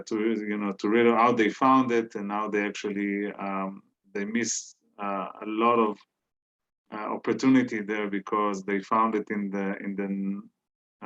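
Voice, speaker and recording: A man's voice: lowish voice, a bit bright. A man whose first language is Hebrew, giving an informal lecture with many fillers and restarts. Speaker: guest lecturer. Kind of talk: informal lecture on biblical archaeology. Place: synagogue hall. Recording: dull lo-fi sound.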